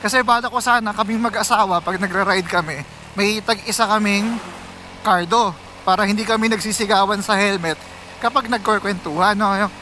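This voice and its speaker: High, bright-voiced Filipino man vlogging. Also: moderate pace, slight background noise. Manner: conversational, mixing in English phrases. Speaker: motorcycle vlogger. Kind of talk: casual vlog chat to viewers while riding. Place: outdoors, riding a motorcycle on city roads.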